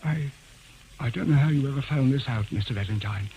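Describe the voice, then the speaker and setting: An actor playing a nervous, stammering brokerage boss in a 1940s style radio drama. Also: male voice, mid-range voice, brisk, dark tone, natural voice, poor audio. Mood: flustered. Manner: stammering, evasive. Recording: hissy vintage radio recording.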